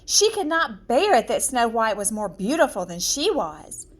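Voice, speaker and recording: A woman narrates a children's fairy tale in a high voice that moves through lively swings of pitch. She takes her time, phrasing each part deliberately and with storybook drama. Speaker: storyteller reading aloud.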